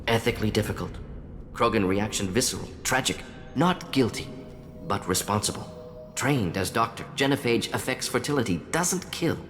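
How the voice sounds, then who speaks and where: A man's voice: fairly low pitch, a bit bright. A man acting as a brilliant, defensive and remorseful alien scientist in a video game, speaking in clipped, analytical fragments that drop small words. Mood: reflective, troubled. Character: fast-thinking alien scientist doctor, brilliant, defensive, remorseful male Salarian. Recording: long reverb with music underneath.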